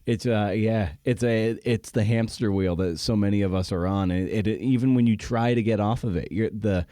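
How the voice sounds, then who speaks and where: A man's voice: on the low side, normal speed, mellow, dry recording, studio quality. A man hosting a podcast in a casual interview chat, with informal, rambling phrasing. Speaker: podcast host.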